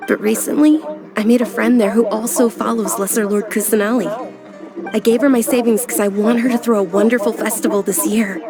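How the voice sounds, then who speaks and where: A woman's voice: on the high side, fairly even intonation, a little fast, close to an everyday voice. A woman voicing a sickly, bookish young noblewoman in a video game, speaking earnestly. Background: game music.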